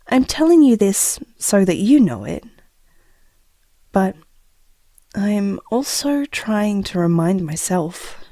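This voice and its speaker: An actress playing a sad, gentle woman bravely reassuring a loved one in an audio drama, hushed and halting. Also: medium pitch, dry recording, clean studio sound. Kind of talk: intimate audio drama monologue.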